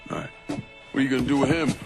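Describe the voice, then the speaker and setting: A man's voice: mid-range voice, fairly even intonation, rapid-fire, close to an everyday voice. A man playing a grim, businesslike criminal in a cinematic game, terse and cool. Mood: cool, demanding. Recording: music behind the voice.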